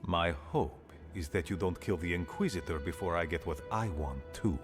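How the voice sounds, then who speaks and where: An actor playing an aging, pragmatic dwarf leader in a fantasy game, speaking in a grave, measured, dryly blunt way. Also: male voice, low-pitched, music in the background, lightly acted. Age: older. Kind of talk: fantasy video game dialogue.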